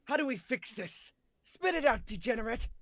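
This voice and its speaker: A woman's voice: on the high side, sing-song, moderate pace, no echo, studio quality. A woman voicing a furious anime girl who barks threats in a comic dub. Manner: menacing, barked threats.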